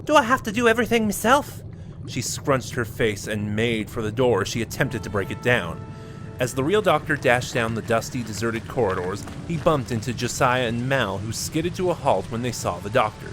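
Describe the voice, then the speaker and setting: A man narrating a fan-made audio drama in a steady storytelling manner. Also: medium pitch, moderate pace. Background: music.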